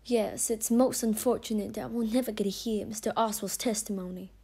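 Female, young Southern US reporter for audio play. Polite, eager, higher-pitched. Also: fairly even intonation, a little fast, mellow, breathy edge. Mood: earnest. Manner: polite, genteel. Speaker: actor.

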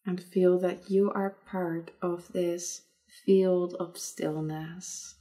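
Slow, low-voiced woman guiding meditation. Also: fairly even intonation, mellow. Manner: soothing, unhurried, long pauses. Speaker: meditation guide. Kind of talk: guided meditation narration.

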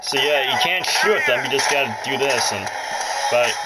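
A man's voice: medium pitch, lively intonation, normal speed, heavy background noise. A man giving casual let's play commentary, talking to viewers in a playful, mildly grumbling way. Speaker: gaming video creator. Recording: game music playing from handheld console. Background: loud game music and audio.

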